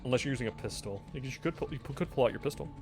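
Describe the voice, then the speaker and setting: A man's voice: mid-range voice, expressive, very fast, vocal fry, music in the background. A man working as game master, casually narrating a tabletop horror game and calling for rolls.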